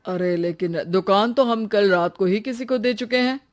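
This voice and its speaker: A woman's voice: mid-range voice, normal speed, lightly acted. A woman voicing a blunt male shop owner in a cartoon story, dismissive and matter-of-fact.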